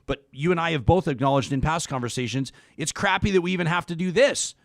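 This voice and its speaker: A man hosting a conversational interview, speaking haltingly and often repeating words as he rephrases. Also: on the high side, no echo, studio quality. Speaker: talk show host.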